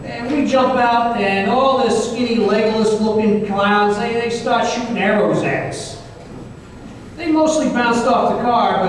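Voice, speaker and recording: A man playing a wisecracking detective, recounting his adventures in a wry, conversational old-time radio style. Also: high-pitched. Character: wisecracking male detective recounting a supernatural gunfight. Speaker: actor in a staged radio play. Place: live performance in a reverberant hall. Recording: very echoey hall, distant mic, faint noise.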